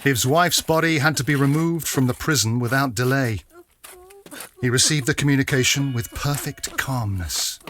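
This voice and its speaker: A man's voice: mid-range voice, normal speed, a bit bright. A man narrating a serious audio drama in a grave, solemn manner.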